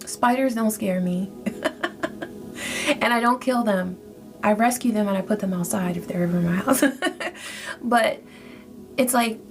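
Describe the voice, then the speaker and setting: Gently encouraging woman tarot reader. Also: medium pitch, vocal fry. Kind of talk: spiritual tarot reading. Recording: faint music behind.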